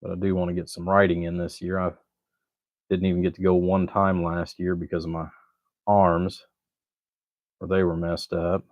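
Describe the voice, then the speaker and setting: Very low-voiced, laid-back man, dull dry recording. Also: monotone, moderate pace, long pauses, dry recording. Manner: rambling, laid-back, folksy. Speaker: livestream host, hobbyist amplifier builder. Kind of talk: casual livestream chat while working at a bench.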